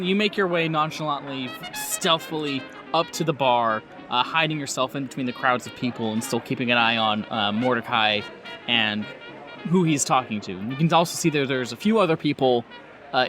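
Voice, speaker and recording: A man's voice: medium pitch, moderate pace. A man running a tabletop role-playing game, improvising narration and explaining rules as game master. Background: music.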